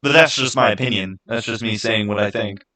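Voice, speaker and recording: A man's voice: fairly low pitch, a little fast, pushed and bright. A man hosting an unscripted, casual gaming commentary, stating his opinions emphatically and repeating phrases to make his point. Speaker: video host giving his opinions.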